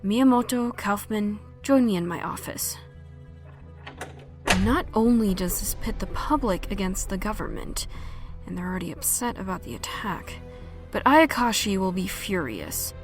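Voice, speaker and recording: A woman's voice: medium pitch, animated, measured pace, soft background music, close to an everyday voice. A woman playing a stern, demanding boss in a fan audio drama, commanding and clipped. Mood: stern, angry.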